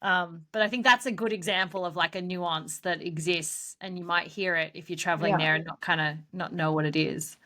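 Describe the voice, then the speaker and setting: Bright-voiced woman co-host. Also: on the low side, fairly even intonation, fairly quick, hardly any pauses. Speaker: podcast co-host. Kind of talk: casual interview chat.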